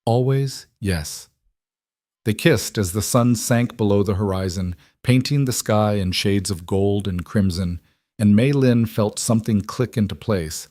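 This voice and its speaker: A man narrating a scripted story in a measured, even way. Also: fairly low pitch, no room sound, clean studio sound.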